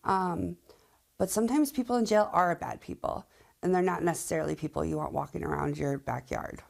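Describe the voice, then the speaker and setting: Lowish-voiced woman, emphatic and plain-spoken. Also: steady tone, fairly quick, vocal fry. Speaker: local resident being interviewed. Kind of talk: candid interview talk.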